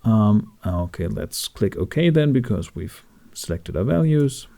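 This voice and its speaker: A man talking through a software tutorial in a casual, informal way with wry asides. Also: lowish voice, sing-song, unhurried, gravelly. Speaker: tutorial host.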